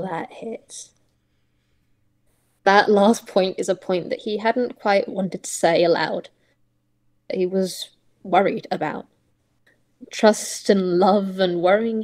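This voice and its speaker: A woman improvising story narration for a roleplay, in reflective, halting phrases. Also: medium pitch, fairly even intonation, a little mellow.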